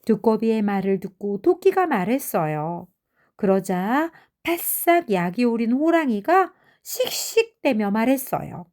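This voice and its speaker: A woman narrating children's fairy tales in an expressive, measured storybook manner. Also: on the high side. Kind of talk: animated fairy tale narration.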